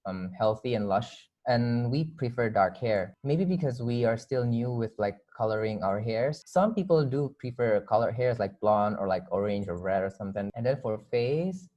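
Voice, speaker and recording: A man's voice: medium pitch, expressive, normal speed, hardly any pauses, dark tone, muffled recording. A man with an Indonesian accent chatting casually as a guest in a discussion, often hedging what he says.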